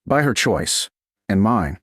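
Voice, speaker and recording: Casting: male narrator as restrained, intense romance duke, low voice, controlled and deliberate delivery. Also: sing-song, breathy edge, no room sound, clean studio sound, full character voice. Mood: firm, controlled. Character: commanding, restrained medieval duke, quietly intense lover.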